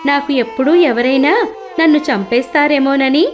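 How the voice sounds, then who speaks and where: Swan, animated moral tale: female performer, high, anxious, plaintive bird, never content. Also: normal speed, soft background music, lightly acted. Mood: fearful. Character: anxious, unhappy swan in a fable.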